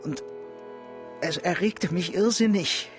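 Higher-voiced volatile male penitent. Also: slow pace, over music. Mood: guilty, haunted. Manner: intense, coarse, confessional. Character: guilt-ridden, volatile criminal confessing to a priest. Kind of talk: radio drama acting.

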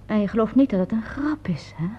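An actress in a Dutch radio play as an effusive, dramatic theatre society woman with a husky, breathy voice. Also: medium pitch, animated, brisk, muffled recording, slight background noise, close to an everyday voice. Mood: eerie, hushed. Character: gushing society theatre woman with eerie mediumistic turns.

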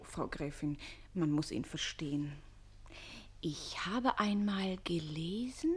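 An actress playing a pert, loyal young lady's maid in a classic German radio play, playful and theatrical with a breathy voice. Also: mid-range voice, darkish tone.

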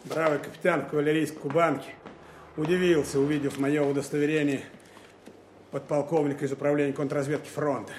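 An actor playing a dry, exacting counterintelligence lieutenant colonel, terse and commanding, in a wartime audio drama. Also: male voice, a little high, little pitch movement, a little hiss or hum, natural voice. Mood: matter-of-fact narration. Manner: terse, commanding, deliberate questioning. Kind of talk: Russian wartime audio drama, narration and dialogue.

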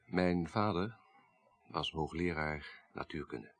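An actor playing a cool, self-assured professor of twenty-five in a radio drama, speaking formally and precisely. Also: male voice, deep voice, slow pace, full character voice. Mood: cool, dry. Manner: formal, precise, dryly correcting. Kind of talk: 1980s Dutch radio drama acting.

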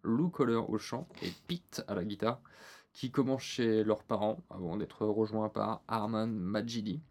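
A man's voice: lowish voice, moderate pace, a little mellow. A man co-hosting a casual podcast chat, teasing his partner and reading band news from notes. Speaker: podcast co-host.

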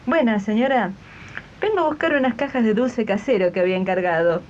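A woman playing a chatty, prying Argentine customer in a 1980s radio drama, brisk and inquisitive. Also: on the high side, darkish tone, natural voice. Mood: chatty, nosy. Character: chatty, prying female customer collecting homemade jam. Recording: muffled 1980s archive radio tape.

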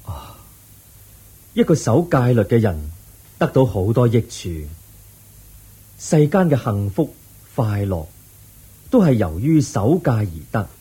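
A man voicing a wise, patient Buddha in a radio drama, explaining teachings calmly in a husky voice. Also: medium pitch, slow pace, pauses often. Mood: serene. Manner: calm, patient, instructive. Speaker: narrator and lead actor.

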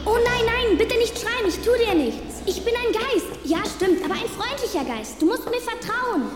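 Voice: very high-pitched, expressive, normal speed, over loud music. A performer voicing a friendly, playful ghost child in a chirpy, polite and reassuring way for an audio drama. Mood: pleading, reassuring. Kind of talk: German film audio drama dialogue. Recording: reverberant, noisy sound-effect mix.

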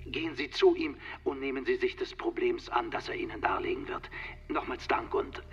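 An actor playing a courteous president giving orders in a radio drama, with a husky, rough voice. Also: male voice, medium pitch, moderate pace, whispered, soft background music. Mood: courteous, official. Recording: dull, muffled radio-like sound.

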